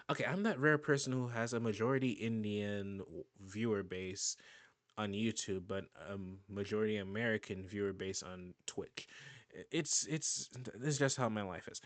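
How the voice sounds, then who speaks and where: A man's voice: fairly low pitch, moderate pace, dry recording. A man giving casual, rambling reaction commentary on a video, often restarting and laughing. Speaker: YouTube reaction host.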